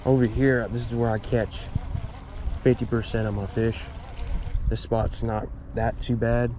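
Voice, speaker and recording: A man's voice: medium pitch, unhurried. A young man casually talking through his fishing from a small boat on a backyard pond, filmed on a head-mounted action camera. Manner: relaxed, chatty, pointing out spots. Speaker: fishing vlogger. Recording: outdoor wind noise on action camera.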